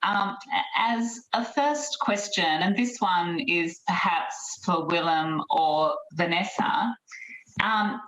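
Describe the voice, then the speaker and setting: A woman's voice: on the low side, takes it slowly, fairly bright tone, echoey room, slight background noise, poor audio. A woman moderating an online panel, polite and orderly, speaking over a video call. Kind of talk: moderating a webinar.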